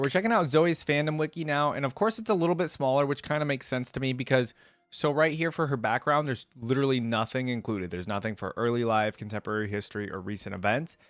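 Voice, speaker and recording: A man's voice: medium pitch, dry recording. A man hosting a reaction video, giving casual, chatty commentary on a video game and thinking aloud in an easygoing way.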